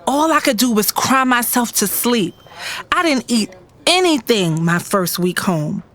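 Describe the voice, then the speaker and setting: Heartbroken narrator, unhurried. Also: female voice, a little high, expressive, a bit bright. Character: heartbroken young woman recounting weeks of grief. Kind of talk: dramatic first-person story narration.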